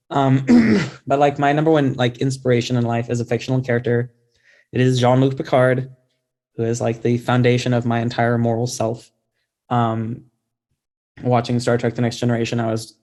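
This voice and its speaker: Male guest author, mid-pitched, conversational, thoughtful and self-deprecating in an informal interview. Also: darkish tone.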